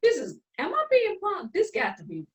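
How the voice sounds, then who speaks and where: Actress as an exasperated, bossy, lively-voiced older sister. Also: female voice, very high-pitched, normal speed, dull audio, heavily acted. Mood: incredulous. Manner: sarcastic, theatrical, condescending endearments. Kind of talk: online dramatic play reading. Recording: distant from the microphone.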